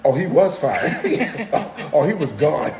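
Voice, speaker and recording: A man's voice: fairly high pitch, big pitch swings, slow pace, crowd noise. A man in his seventies chatting candidly on a podcast, telling stories with wry, self-mocking jokes. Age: 70s. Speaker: podcast co-host, husband. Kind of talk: casual chat.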